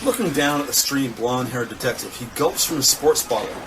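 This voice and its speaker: A man narrating a scripted crime audio drama in the present tense with deliberate, descriptive delivery. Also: mid-range voice, animated. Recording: distant voice over loud background noise.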